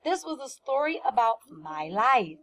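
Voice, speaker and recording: A woman teaching first graders through a read-aloud, speaking deliberately and with emphasis, often questioning the children. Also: fairly high pitch, sing-song, fairly bright tone.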